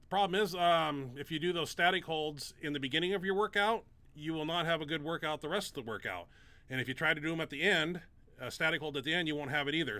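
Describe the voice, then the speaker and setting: Bright-voiced rambling man. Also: on the high side, no echo. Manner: rambling, many fillers and restarts. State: stuffed-up nose. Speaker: show host and powerlifter. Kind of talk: casual live Q&A chat.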